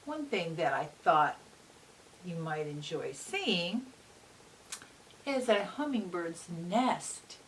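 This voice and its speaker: A woman reads to young children at an unhurried, slow pace, her pitch swinging widely for expression. She sounds like a gentle teacher, pausing to ask her listeners questions. The recording is picked up from a distance, with a faint hiss. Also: sing-song. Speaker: teacher reading a picture book aloud to children.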